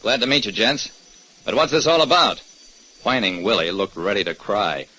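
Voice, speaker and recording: A man's voice: on the low side, moderate pace, pushed and bright, low-quality recording. An actor playing a wry, hard-boiled private detective who narrates his case tersely in an old radio drama. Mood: brisk.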